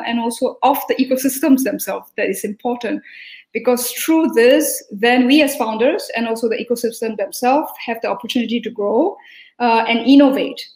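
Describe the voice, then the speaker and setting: Woman from Singapore, earnest summit guest, slightly high voice, echoey room. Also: darkish tone. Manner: earnest, thankful, many fillers. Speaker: panel guest speaker. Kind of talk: virtual summit remarks.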